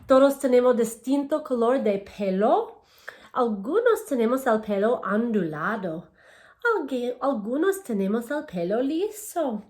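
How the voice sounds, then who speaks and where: A woman teacher reading aloud to young children in a simple, deliberate, encouraging way, often posing questions to them. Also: a little high, lively intonation, a little mellow. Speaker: elementary school language teacher. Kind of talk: children's read-aloud lesson.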